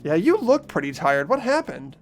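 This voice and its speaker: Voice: medium pitch, very animated, moderate pace, soft background music. A performer voicing a mild, earnest teenage schoolboy who narrates and chats in a fan-voiced visual novel game. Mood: bemused.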